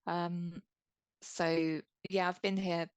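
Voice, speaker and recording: Hesitant soft-spoken woman, dry sound. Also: on the low side, flat delivery, very slow, clean tone, no echo. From English Midlands. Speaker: podcast guest, clay artist. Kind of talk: casual conversation. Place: small home studio room.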